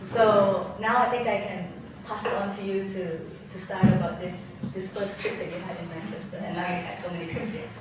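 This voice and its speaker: A woman's voice: medium pitch, fairly even intonation, moderate pace, few pauses. A woman moderating a gallery artist talk, introducing partners and prompting the speakers. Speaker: curator, event moderator. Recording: distant echoey mic with loud noise.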